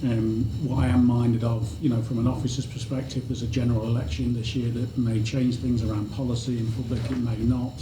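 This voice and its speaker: A fire chief gives committee members a frank, matter-of-fact briefing. His voice sits at middle pitch and stays level. The room sounds echoey, and a steady hum of background noise runs under his speech. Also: a little fast, few pauses. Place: council meeting room. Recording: roomy chamber with background noise.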